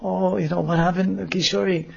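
Anxious young women friends cry out and fuss, as a man puts them on in a devotional story. The voices are pitched high in a raised, worried falsetto. The recording is slightly dull and lo-fi. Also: little pitch movement, normal speed, creaky, a little mellow, breathy edge, full character voice. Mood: distressed. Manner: anxious, crying out. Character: group of young women friends, distressed and fussing.

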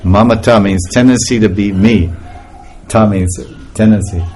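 A man's voice: lowish voice, moderate pace, slight background noise. A man giving an informal spiritual talk to a group, laughing often as he tells stories. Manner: laughing often, animated storytelling.

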